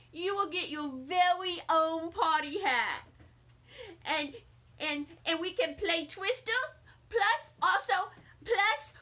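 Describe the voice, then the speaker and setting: A woman voicing an excitable, bragging little girl with childlike, emphatic chatter in a storybook read-aloud. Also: very high-pitched, exaggerated voice.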